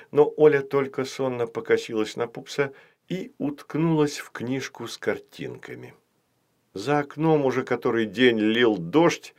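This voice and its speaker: A man reading a children's story as scripted audiobook narration. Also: mid-range voice.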